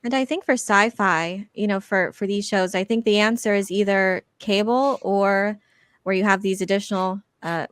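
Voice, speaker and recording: A woman's voice: medium pitch, fairly even intonation, moderate pace, clear, resonant tone, no echo. A woman speaking casually as a panelist, phrasing her points tentatively. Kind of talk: casual discussion.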